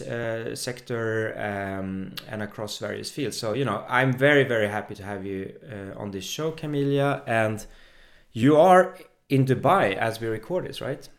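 A man hosting a podcast interview, talking in a halting way with many fillers as he introduces his guest. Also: mid-range voice. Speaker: podcast host.

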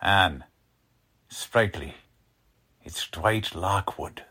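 A man's voice: low voice, drawn-out words, pushed and bright, slightly rough, full character voice. A man playing a cocky, folksy police lieutenant with slangy, ungrammatical talk in 1940s radio drama style. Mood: businesslike, grave. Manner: slangy, ungrammatical tough-guy speech.

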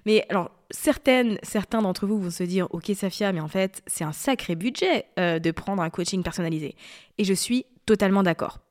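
A woman in her thirties talks to her listeners in a relaxed, confiding podcast chat. She drifts from point to point in a chatty way, at a medium pitch. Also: moderate pace. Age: 30s. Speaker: podcast host. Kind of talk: casual solo podcast talk.

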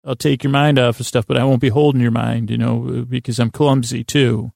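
A man hosting a sleep podcast in a meandering monologue, stumbling over words, circling back and gently goofy and self-deprecating. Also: mid-range voice, little pitch movement, fairly quick, vocal fry, no echo, clean studio sound. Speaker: podcast host.

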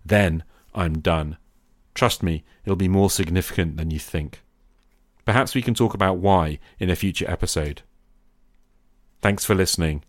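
A man's voice: low voice, normal speed, pauses often, no room sound, studio quality. A man speaking as a podcast host about tabletop roleplaying, addressing the listener directly and encouragingly.

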